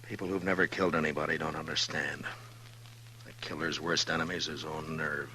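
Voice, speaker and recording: Stern Old West lawman, very deep, terse, grave, American, 1950s radio drama, slight hiss. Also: flat delivery, a little fast, pushed and bright. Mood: grave, watchful. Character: weary, stern frontier lawman. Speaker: actor in radio western. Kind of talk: 1950s radio western acting. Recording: noisy 1950s radio recording.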